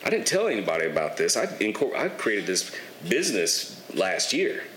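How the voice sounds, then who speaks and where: A young man in his twenties, guesting on a podcast, tells his story in a relaxed, candid way and swears casually. His voice sits fairly low. The room is echoey, with a faint hiss underneath. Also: normal speed. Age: 20s. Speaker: guest, barber and clothing entrepreneur.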